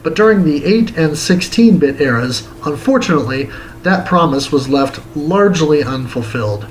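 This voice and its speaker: A man's voice: fairly high pitch, lively intonation, moderate pace, slight background noise, poor audio. A man hosting a retro game review series, reading his scripted review aloud in a theatrical, tongue-in-cheek way.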